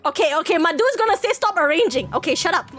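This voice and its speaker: A high-pitched woman livestreams a game in a casual, excitable way. Her voice swings widely as she repeats short phrases in quick bursts. Game music plays behind her. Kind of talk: casual live gaming commentary.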